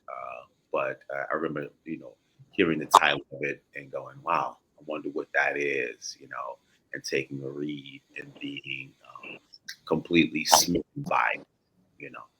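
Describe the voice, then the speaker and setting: An extremely low, husky male voice in a casual interview. He speaks as a guest, earnest and reflective, feeling his way haltingly through each thought. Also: a bit bright, low-quality recording.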